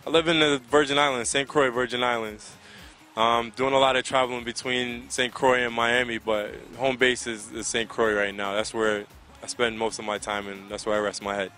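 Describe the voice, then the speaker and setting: Bright-voiced American man in casual interview. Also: medium pitch, level tone, normal speed. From Connecticut, United States. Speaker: soca singer being interviewed. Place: outdoors by a riverside. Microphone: handheld microphone held close to the mouth.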